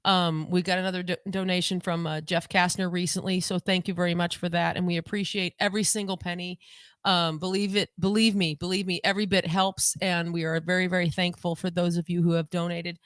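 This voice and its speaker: A woman co-hosting a casual home livestream podcast on a desk mic, talking in a rambling, chatty way and repeating herself, her voice lower than usual today. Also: little pitch movement, fairly quick, smooth, no echo, clean studio sound. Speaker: podcast co-host.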